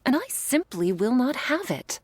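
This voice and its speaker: A woman playing a stern but devoted vampire lover in an ASMR roleplay, speaking in a formal, measured, affectionate way. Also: high-pitched, big pitch swings, no echo, voice pushed up, full character voice. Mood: grave, firm.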